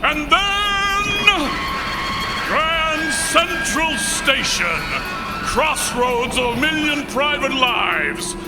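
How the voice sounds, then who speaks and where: A man's voice: extremely high pitch, animated, drawn-out words, loud music behind the voice, rough recording. A man delivering grandiose, declamatory narration in the style of 1940s radio drama. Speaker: announcer.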